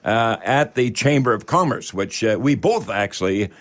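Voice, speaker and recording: A man's voice: medium pitch, lively intonation, normal speed. Folksy man hosting radio. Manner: folksy, rambling, hesitant phrasing. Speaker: radio show host. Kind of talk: live call-in radio show talk. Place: radio studio.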